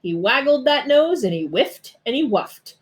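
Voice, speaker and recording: Animated woman reading a children's story. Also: high voice, unhurried. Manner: expressive, dramatic storytelling. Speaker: storybook reader. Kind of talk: children's read-aloud narration in rhyme.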